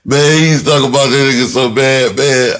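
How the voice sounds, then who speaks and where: A man telling a personal story in a casual, animated way, with slangy, profane talk and phrases repeated for emphasis. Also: mid-range voice, a little fast, smooth. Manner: breaks into laughter often while talking. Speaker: screenwriter and performer telling his own story.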